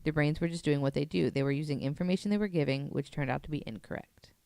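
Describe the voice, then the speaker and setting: A woman's voice: low voice, quick pace, creaky, dark tone, no room sound. A woman talking casually and informally as a guest on a podcast, walking through what she has read. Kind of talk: casual podcast talk, presenting and paraphrasing an article.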